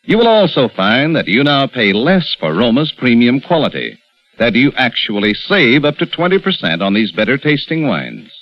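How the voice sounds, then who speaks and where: A man's voice: mid-range voice, wildly swooping pitch, moderate pace. Man, vintage ad announcer, persuasive and very melodic, lo-fi sound. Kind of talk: sponsor commercial read. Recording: dull old radio broadcast with faint music.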